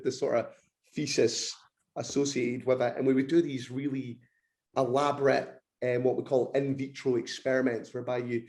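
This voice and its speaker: A man with a croaky, slightly hoarse throat, explaining research as a podcast guest, eager and technical. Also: medium pitch, dark tone.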